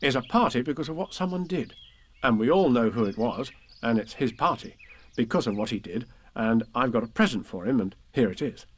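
A man's voice: medium pitch, expressive, a little fast, natural voice. A man voicing an earnest young boy making a solemn speech in a storybook reading.

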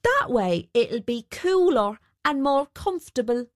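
Woman playing a boastful, slow-talking would-be knight. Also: a little high, sing-song, no echo, studio quality, voice pushed up. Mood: proud, grand. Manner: grand, cheerfully self-important declarations. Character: boastful male weaver turned self-styled wandering knight. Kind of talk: storybook character voice.

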